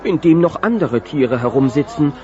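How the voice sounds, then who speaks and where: A man's voice: a little high, lively intonation, moderate pace, lightly acted. A man narrating a German children's audio play in a dramatic storytelling style. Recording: muffled old cassette with music.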